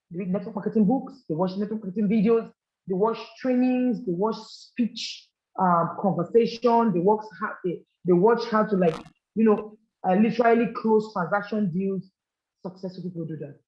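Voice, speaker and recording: A man's voice: high voice, normal speed. A man giving a business leadership training, earnest and coaching, often checking in with "all right?". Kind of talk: live training presentation to a group. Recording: roomy, muffled lo-fi recording.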